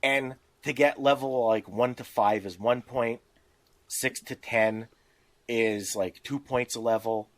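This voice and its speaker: A man's voice: medium pitch, fairly even intonation, slow pace, dry recording. Man explaining game rules, closely recorded. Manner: explanatory, reasons through examples. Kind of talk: casual discussion of tabletop game rules.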